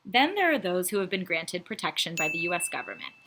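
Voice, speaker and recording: A woman's voice: fairly low pitch, big pitch swings, fast talker, noisy background. A woman presenting an educational video in a formal, scripted explanatory style. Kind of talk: scripted informational narration.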